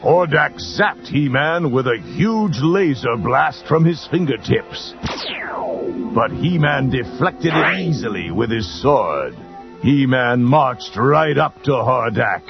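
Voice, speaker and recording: A man's voice: mid-range voice, animated, slow pace, vocal fry. A man giving dramatic scripted children's narration on a muffled 1980s tape, with cartoon music behind him. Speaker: storybook narrator.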